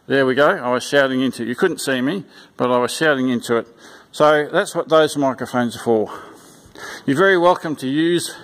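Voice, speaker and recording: A man giving an informal, unscripted instructional walkthrough in a plain-spoken, halting way, repeating his instructions. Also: on the high side. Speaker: church sound system instructor. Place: indoors in a church.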